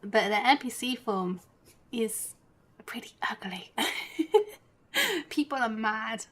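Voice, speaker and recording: A woman's voice: a little high, very animated, slow, a bit bright. A woman doing casual livestream commentary on a video game, chatty and giggly. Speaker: game streamer. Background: game music.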